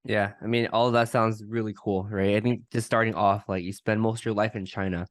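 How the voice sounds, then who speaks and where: A man's voice: lowish voice, flat delivery, fairly quick, dry recording, studio quality. A man hosting a podcast, asking questions casually with a lot of "like" fillers. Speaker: podcast host.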